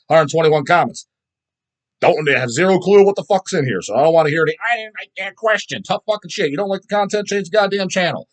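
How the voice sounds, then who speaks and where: A middle-aged man hosting a casual video, rambling through his opinions in a blunt, crude, emphatic way with heavy swearing. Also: a little high. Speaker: host answering viewer comments.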